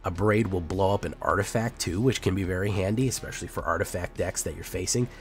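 A man's voice: deep voice, quick pace. A man hosting a casual card-game deck breakdown, upbeat and hammy, his voice a little off from being sick. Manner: upbeat, hammy sign-off banter. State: sick, voice sounds off. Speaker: host.

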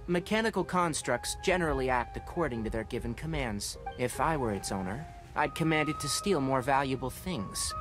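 Composed, clever young ranger, voiced by a man; lively intonation, studied delivery. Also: mid-range voice, natural voice. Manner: precise, analytical, composed. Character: young fox-eared forest ranger, scholarly and calm. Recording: game audio with faint music.

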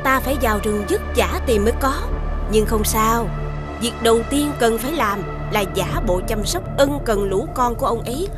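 Woman voicing a cartoon witch, scheming, haughty, fairly high voice, music underneath. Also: natural voice. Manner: haughty, commanding to servants, sweet to the king. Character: scheming woman, jealous witch posing as queen's sister. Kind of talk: dubbed cartoon acting. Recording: cartoon soundtrack with music behind.

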